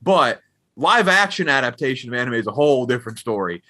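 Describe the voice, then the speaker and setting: A man's voice: medium pitch, big pitch swings, fast, sharp tone. A man hosting a casual podcast interview, chatty, asking long questions that restart and circle around.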